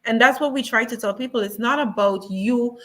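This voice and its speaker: A woman's voice: a little high, brisk, a bit bright. A woman speaking as a guest on a talk show, earnest and repeating her points for emphasis. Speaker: museum guest. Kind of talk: casual talk show discussion.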